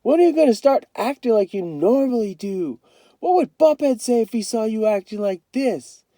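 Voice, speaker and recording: A man voicing a stubborn, indignant young boy in a storybook, loud and whiny. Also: sits high, animated, normal speed, no room sound, voice pushed up. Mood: indignant, defiant.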